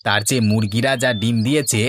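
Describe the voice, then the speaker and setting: A man's voice: mid-range voice, fairly even intonation, quick pace, noisy background, close to an everyday voice. A man playing an earnest village chicken farmer in scripted cartoon dialogue.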